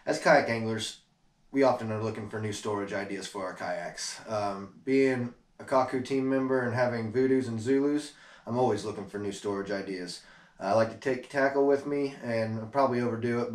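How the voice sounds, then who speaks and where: A man's voice: medium pitch, brisk. An American man in his thirties giving a laid-back, conversational product review to camera. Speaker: product reviewer, kayak angler. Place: small room indoors. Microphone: camera mic a few steps away. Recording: roomy echo, noticeable background noise, clicks.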